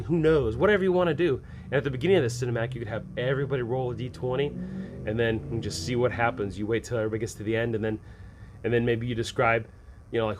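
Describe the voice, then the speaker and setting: A man's voice: medium pitch, a little hiss or hum. A man hosting a tabletop game advice show, talking casually and with enthusiasm, slipping into improvised scene descriptions in colloquial, folksy phrasing. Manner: enthusiastic, animated when acting out examples.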